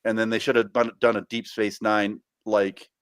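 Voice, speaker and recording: A man's voice: on the low side, flat delivery, normal speed, clear, resonant tone, no echo, clean studio sound. A man co-hosting a podcast in casual chat, rambling with many fillers and restarts. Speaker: podcast co-host.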